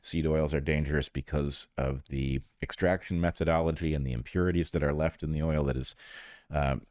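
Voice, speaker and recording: A man talks through an argument as a podcast co-host. He is deliberate and emphatic, and the sound is close and dry, with very little room. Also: rumbling low, steady tone, darkish tone, dry recording. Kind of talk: analytical podcast discussion.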